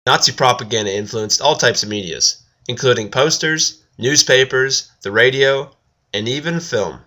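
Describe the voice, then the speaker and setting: A man's voice: medium pitch, normal speed, pushed and bright. A man reading a scripted, structured explanatory narration as a video essayist.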